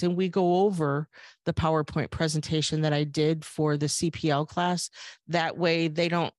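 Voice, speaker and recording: A woman's voice: low voice, dry recording, studio quality. Chatty woman on a video call. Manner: conversational, frequent ums and uhs. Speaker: firearms instructor. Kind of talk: webinar presentation. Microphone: video call webcam.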